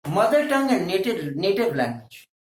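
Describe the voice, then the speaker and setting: A woman's voice: medium pitch, very animated, moderate pace, echoey room. A woman with a Pakistani accent, a native Hindko speaker, giving a teacher training lecture in an emphatic, scolding way full of rhetorical questions.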